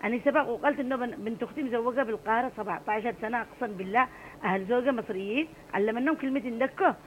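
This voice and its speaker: A woman's voice: fairly high pitch, racing, dull audio, slight background noise. A middle-aged woman with an Adeni accent, calling in to a radio show and speaking passionately. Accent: Adeni Arabic. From Aden, Yemen. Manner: passionate, emphatic. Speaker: radio caller. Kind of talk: call-in radio chat.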